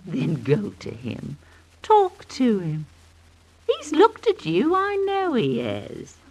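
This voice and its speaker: A woman playing a superstitious, coaxing older slum woman of Victorian London in an old-time radio drama, in a theatrical, drawn-out way. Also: on the high side, wildly swooping pitch, exaggerated voice. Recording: noisy vintage radio recording.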